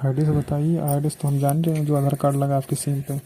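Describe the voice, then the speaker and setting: A man's voice: a little high, little pitch movement, quick pace, mellow, poor audio. A man talks coaxingly and insistently in a casual, flirtatious phone conversation. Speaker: phone caller.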